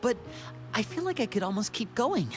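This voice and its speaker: Gracious young adventurer, expressive lilting voice, music behind. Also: female voice, fairly high pitch, moderate pace. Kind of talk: video game voice acting.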